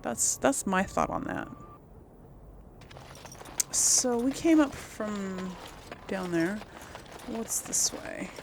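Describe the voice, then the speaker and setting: Woman rambling through gameplay, lively pitch swings. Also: very slow, vocal fry, pauses often, noisy background. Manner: rambling, thinking aloud. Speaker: gaming video host and player. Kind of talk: casual gameplay commentary. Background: game ambience.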